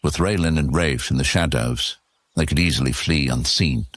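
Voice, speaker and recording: A man's voice: extremely low pitch, normal speed, a bit bright, dry recording. A man narrating a scripted fantasy story as the narrator of an audio serial.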